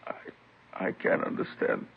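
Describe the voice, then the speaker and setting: A man acting a polite, bewildered professor and worried husband, speaking haltingly and earnestly in old radio drama style. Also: natural voice. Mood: bewildered, anxious. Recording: tinny, noisy vintage radio recording.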